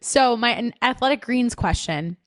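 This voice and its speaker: A woman's voice: a little high, animated, normal speed, no echo. A woman hosting a casual podcast interview, chatty and upbeat, with many "like"s and tag questions. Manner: chatty, upbeat, lots of "like" and "right?". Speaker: podcast host.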